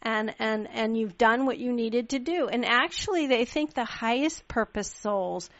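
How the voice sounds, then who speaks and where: A woman's voice: a little high, unhurried, no echo, lo-fi sound. A woman from Pakistan hosting a radio interview, chatty and affirming, with many small agreements. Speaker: radio show host.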